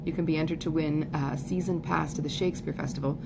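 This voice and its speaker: A woman reading a classic novel aloud in a measured, articulate way, as in an amateur audiobook. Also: low-pitched, steady tone, gravelly, background noise. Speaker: audiobook reader. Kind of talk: literary narration of a classic novel.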